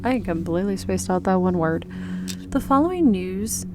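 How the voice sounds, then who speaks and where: A woman's voice: mid-range voice, expressive, moderate pace. Woman reading a visual novel aloud over music. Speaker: game player reading dialogue aloud. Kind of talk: visual novel read-aloud let's play.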